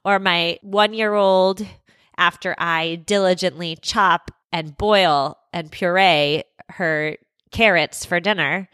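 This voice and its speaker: A woman in her thirties hosting a podcast, hoarse and scratchy from a cold, speaking in a candid, conversational way with light self-mockery. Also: on the low side, a bit bright, no room sound. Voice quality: scratchy, gruff. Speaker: podcast host. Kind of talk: scripted podcast monologue.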